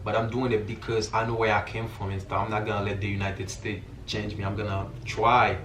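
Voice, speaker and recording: A man's voice: on the low side, flat delivery, brisk, a bit bright. A young man from Haiti with a Haitian accent, talking casually and earnestly to viewers in informal, slangy speech. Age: young adult. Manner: informal, slangy, repeats filler phrases like "you feel me". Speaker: vlogger. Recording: roomy echo, distant mic, faint noise.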